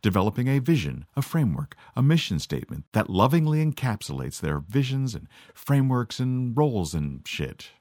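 A man's voice: medium pitch, very animated, normal speed, hardly any pauses, a little mellow, no room sound. A middle-aged man from Canada delivering a sardonic, scripted monologue as podcast host. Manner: sardonic, dry.

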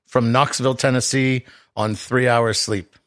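A man in his forties from the Boston area, a radio DJ, telling stories in a dry, self-deprecating way with halting restarts. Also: mid-range voice, level tone, fairly bright tone. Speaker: radio DJ guest. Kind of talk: casual interview chat.

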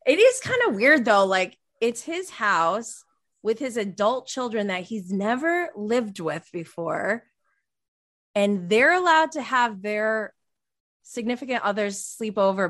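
A woman's voice: on the high side, fairly bright tone. A woman in her thirties co-hosting a casual, conversational TV recap chat. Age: 30s. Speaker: podcast co-host.

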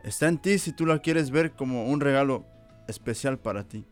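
A man's voice: medium pitch, normal speed, faint music behind. Earnest preaching man from Mexico. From Veracruz, Mexico. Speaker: missionary. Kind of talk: fervent testimony.

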